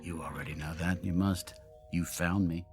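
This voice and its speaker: An actor playing a drunken, world-weary genius inventor who mocks himself bitterly, in radio drama style. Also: male voice, sits low, steady tone, moderate pace, music in the background. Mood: wry, inviting. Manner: wry, theatrical, world-weary.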